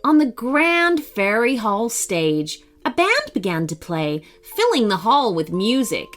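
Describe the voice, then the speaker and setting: A woman reads a children's bedtime story as an expressive narrator. Her fairly high voice moves slowly and with lively intonation, over faint background music.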